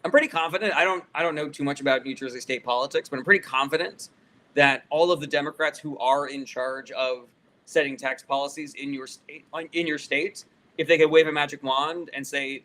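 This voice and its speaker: A man's voice: mid-range voice, fairly bright tone, rough recording. A man hosting a casual live political Q&A, reading comments aloud and answering them in a conversational, opinionated, argumentative way. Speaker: live stream host answering viewer questions.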